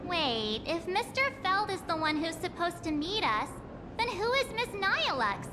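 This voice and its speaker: A woman's voice: very high voice, sing-song, measured pace, long reverb tail, background noise, exaggerated voice. A woman voicing a small, perky, cheeky childlike girl companion in a video game, bubbly and teasing. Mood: puzzled. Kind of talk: animated video game character dialogue.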